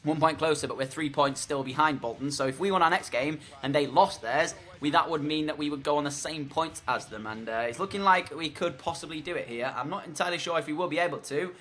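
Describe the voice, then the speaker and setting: Fast, bright-voiced hoarse man. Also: a little high. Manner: rambling, chatty play-by-play with frequent fillers. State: hoarse, losing his voice. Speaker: gaming commentator. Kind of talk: voice-over commentary on a football video game.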